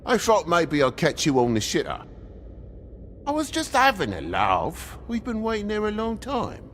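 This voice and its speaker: A man playing a shifty, excitable, protective working-class toad father in a video game, blustery and colloquial. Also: sits high, moderate pace, slight background noise, full character voice. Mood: sheepish.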